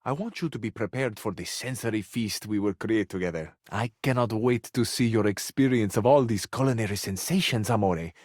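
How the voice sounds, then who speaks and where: A man's voice: on the low side, fairly quick, few pauses, darkish tone, dry recording, studio quality, close to an everyday voice. A man voicing a flamboyant, hopelessly romantic Italian charmer in a video game, with an Italian accent and a florid, amorous delivery that savors each phrase. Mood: rapturous, inviting. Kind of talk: theatrical video game character acting.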